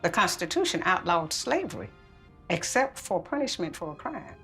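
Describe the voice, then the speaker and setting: Actress as kindly older churchgoing woman, chatty, lively intonation, amateur film clip, music underneath. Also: lowish voice, normal speed. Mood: earnest, explaining.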